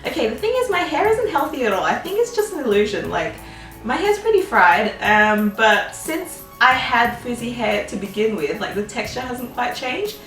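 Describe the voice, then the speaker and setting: A woman's voice: fairly high pitch, big pitch swings, normal speed, a bit bright, roomy sound, recorded from a distance, soft background music. A young woman in her twenties, chatting through a casual Q&A with a bubbly, giggly manner and lots of "um"s and "like"s. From Australia. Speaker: vlogger. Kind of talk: casual Q&A chat.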